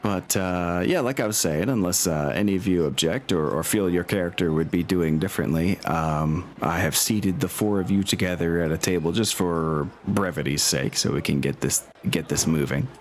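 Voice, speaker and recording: A man's voice: deep voice, normal speed, hardly any pauses, slight background noise. A man narrating a tabletop role-playing game as game master, in an informal, improvised way.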